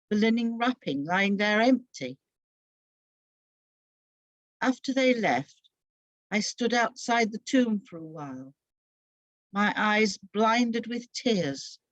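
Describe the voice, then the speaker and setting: Female narrator for scripted Bible drama, unhurried, solemn yet expressive, dry and slightly muffled recording. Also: medium pitch, no echo. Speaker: storyteller.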